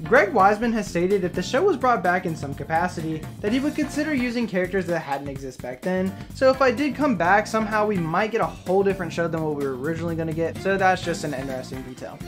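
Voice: mid-range voice, expressive, fast, few pauses, music in the background. A narrator reading a scripted list-style video commentary.

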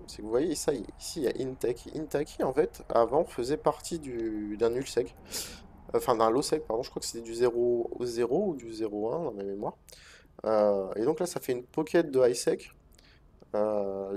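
Relaxed man with a head cold. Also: mid-range voice, normal speed. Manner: hesitant, many "euh" fillers and restarts. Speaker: gaming video host. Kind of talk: relaxed commentary over gameplay.

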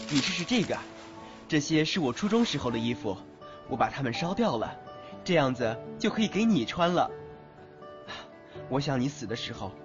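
A man's voice: mid-range voice, lightly acted. A young man playing a caring, playful, coaxing youth in an audio drama. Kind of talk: Chinese audio drama acting. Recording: dull lo-fi audio with music.

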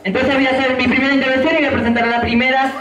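A man's voice: very high voice, little pitch movement, rapid-fire, fairly bright tone. A man with an Argentine accent hosting a variety show as a clown, with playful, campy banter with the crowd. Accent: Argentine Rioplatense Spanish. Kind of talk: stand-up banter with the audience. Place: outdoor patio stage at night. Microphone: handheld wireless mic, filmed from a distance. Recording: echoey, from a distant camera.

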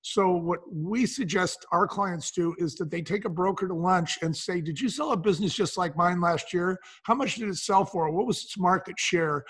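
A man's voice: high voice, normal speed, hardly any pauses, fairly bright tone, slightly rough. A man speaking as an interview guest, explaining things in a folksy, emphatic way and answering his own questions. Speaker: guest, business advisor and brand founder.

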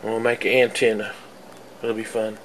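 A man's voice: fairly low pitch, flat delivery, moderate pace. A man talking casually and off the cuff about a hobby project, in a folksy, rambling, hesitant way. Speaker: hobbyist video maker. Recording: clearly noisy home camera recording.

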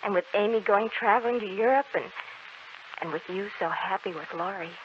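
Woman doing a sweet frail dying girl, halting and wistful, 40s radio play, muffled and noisy. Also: mid-range voice, close to an everyday voice. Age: young adult. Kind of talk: 1940s American radio drama acting.

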